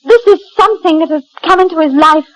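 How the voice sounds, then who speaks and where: A woman's voice: very high voice, moderate pace, no room sound, poor audio. An actress playing an agitated, defiant young woman in love, in a 1940s radio drama. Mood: confessing, insistent. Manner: agitated, insistent.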